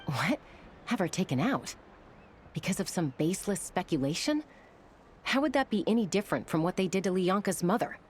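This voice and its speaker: A woman's voice: fairly low pitch, very animated, fairly quick, slight background noise. An actress voicing a bold, loyal young woman scout who talks with confident, defiant conviction. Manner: confident, defiant and warm-hearted. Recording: game soundtrack music behind.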